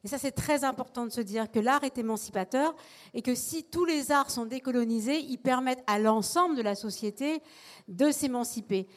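A woman's voice: high-pitched, normal speed. A woman theatre director speaking on a panel discussion, earnest, often restarting her phrases. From not from Marseille, lives there.

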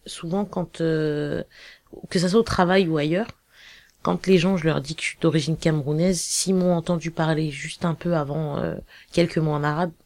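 A woman's voice: low voice, steady tone, normal speed, darkish tone. A young woman talking casually in a documentary interview about her life and boxing, in a loose storytelling way full of fillers.

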